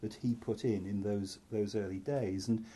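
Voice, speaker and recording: Middle-aged welsh-born psychiatrist guy talking to a crowd, mellow kinda husky voice, blunt and self-mocking. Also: on the low side, fairly even intonation, moderate pace. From North Wales. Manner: candid and self-deprecating, with frequent restarts and asides. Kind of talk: talk to an audience.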